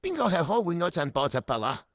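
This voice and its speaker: A woman's voice: on the low side, huge pitch swings, quick pace, no echo, clean studio sound. A woman playing a mean, emotional office boss in a radio drama, scolding and firing off accusatory questions. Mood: indignant, accusing. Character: stingy, emotional, guilt-tripping office boss. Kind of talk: Cantonese workplace radio drama acting.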